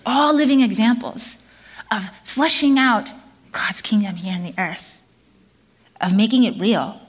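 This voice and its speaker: Earnest woman preaching a sermon. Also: on the high side. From South Korea. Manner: earnest, measured, with fillers and restarts.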